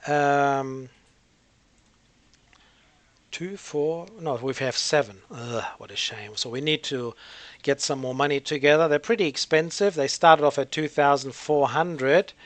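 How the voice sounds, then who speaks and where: Higher-voiced man rambling through game commentary. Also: measured pace, a bit bright, no echo. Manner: hesitant, rambling, thinking aloud. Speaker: gaming channel host. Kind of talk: casual gameplay commentary.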